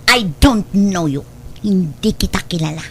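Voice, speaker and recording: A woman's voice: mid-range voice, lively intonation, a little slow, fairly bright tone, slightly rough, exaggerated voice. A woman playing a mentally disturbed, homeless young mother in a radio drama, defiant and territorial with an erratic, childish manner. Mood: hostile, unhinged. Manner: erratic, defiant, switching between snapping and giggling.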